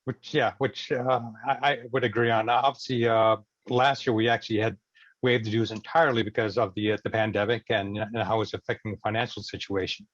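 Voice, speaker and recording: A man with a lowish voice speaks in an online meeting in a hesitant, stammering way. The audio is dry and somewhat muffled. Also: steady tone, brisk, a bit bright, no echo. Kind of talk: meeting discussion. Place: video call. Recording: dull lo-fi video call audio.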